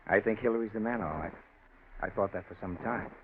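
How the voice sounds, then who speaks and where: An actor playing a shrewd, probing detective in a 1940s radio drama, questioning in a deliberate, leading way. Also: male voice, lowish voice, lightly acted. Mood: shrewd, businesslike. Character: shrewd, probing male detective working a murder case. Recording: scratchy, tinny 1940s radio recording.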